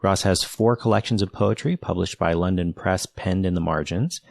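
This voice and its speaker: A man hosting an interview podcast, his voice very low and gravelly. He sounds earnest and enthusiastic and often restarts his sentences. The sound is close and dry, with no room in it. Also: moderate pace, darkish tone, dry recording. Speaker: podcast host. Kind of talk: read intro then casual interview chat.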